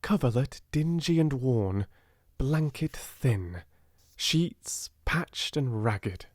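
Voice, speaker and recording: A brisk young English secretary from a storybook, as a male narrator puts him on. His pitch sits above the reader's own, and he sounds inquisitive and easily indignant. The recording is close and dry. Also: expressive, a little mellow, no room sound.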